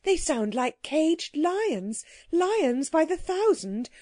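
A woman voicing an imperious, haughty ancient queen with drawn-out, commanding exclamations in a storybook reading. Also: high voice, big pitch swings, mellow, no echo, studio quality, pitched above the performer's own voice, heavily acted. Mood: alarmed.

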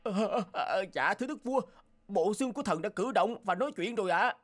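A stammering greedy cartoon rogue. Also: male voice, sits high, expressive, fairly quick. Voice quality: stammering, fawning. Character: cowardly greedy young man, stammering. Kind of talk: cartoon voice acting. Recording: loud music behind.

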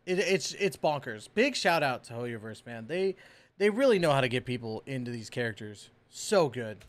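A man in his thirties giving excited, slangy, casual reaction commentary as a streamer, close on the mic. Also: mid-range voice, normal speed. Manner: animated, exclamatory, slangy. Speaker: reaction video host, streamer. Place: small indoor home room with shelves. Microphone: close microphone on boom arm.

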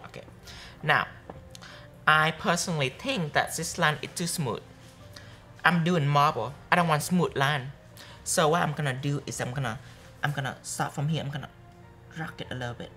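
A young man teaching a live nail art workshop, chatty and repeating steps softly as he demonstrates. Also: on the high side, moderate pace, faint music behind. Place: bright studio classroom with students.

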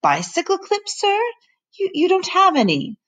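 A woman's voice: very high voice, wildly swooping pitch, normal speed, a bit bright, voice pushed up, heavily acted. Woman doing a timid, fretting housekeeper. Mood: worried. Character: anxious polite female housekeeper.

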